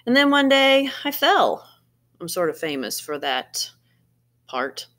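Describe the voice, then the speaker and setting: A woman voicing an earnest, anxious yet determined egg in an expressive picture-book read-aloud, with dramatic emphasis and pauses. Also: on the low side, exaggerated voice. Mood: wistful.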